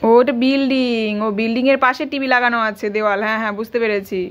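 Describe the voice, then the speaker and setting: A woman's voice: a little high, fairly even intonation, fast talker, a bit bright, lo-fi sound, slight background noise. A woman talks casually, as if filming a home vlog. She is playful and indulgent, addressing a small child and viewers and repeating little phrases back. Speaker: family vlogger filming a child at play.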